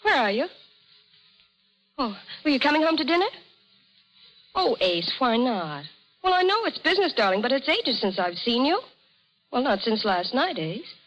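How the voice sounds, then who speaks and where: An actress playing a headstrong, wounded young socialite in a 1930s radio drama, pleading in a dramatic manner. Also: female voice, sits high, big pitch swings, moderate pace, long pauses, fairly bright tone, rough recording. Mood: disappointed, coaxing. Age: young adult. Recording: vintage radio recording, faint music.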